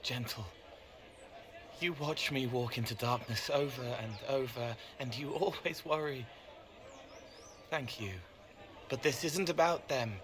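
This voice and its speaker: A man acts out a childlike, troubled young man who was once a spirit. He talks slowly and haltingly, with a plain, wistful tenderness, in video game cutscene style. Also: medium pitch, fairly bright tone, slight background noise, lightly acted. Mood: reflective, tender.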